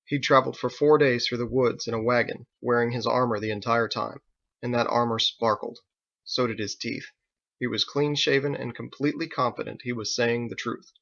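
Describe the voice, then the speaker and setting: A male author narrates an audiobook from a script. His voice has a gravelly creak and a bright, forceful edge, on a slightly dull recording. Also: mid-range voice, fairly even intonation, moderate pace. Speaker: author reading his own story.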